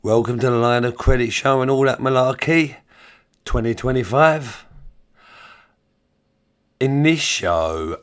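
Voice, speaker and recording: A man hosting his own show, sounding croaky and half-hoarse after a flu, talking in a blunt, informal, opinionated way. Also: mid-range voice. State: croaky from flu, voice partly lost. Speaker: podcast host. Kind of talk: solo commentary on pensions.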